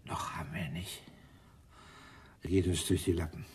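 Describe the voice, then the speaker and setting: An actor playing a grumpy, gloomy middle-aged police inspector in a radio crime drama, grumbling and blustering. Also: male voice, low-pitched, steady tone, normal speed, a little mellow, slight background noise, close to an everyday voice. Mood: gloomy, pained. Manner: grumbling, blustering, self-deprecating.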